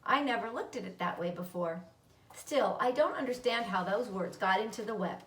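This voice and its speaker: A woman's voice: mid-range voice, normal speed, a bit bright, natural voice. A woman reading a children's book, voicing a worried, nervous mother with an anxious, questioning manner. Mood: puzzled. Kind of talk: children's book read-aloud. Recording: roomy echo, distant microphone.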